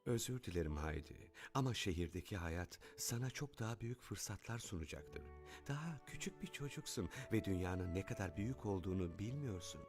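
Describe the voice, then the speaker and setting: A man's voice: deep voice, lively intonation, fairly quick, over music. A man voicing a kind, principled, dignified wealthy father in a dubbed children's cartoon. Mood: gentle.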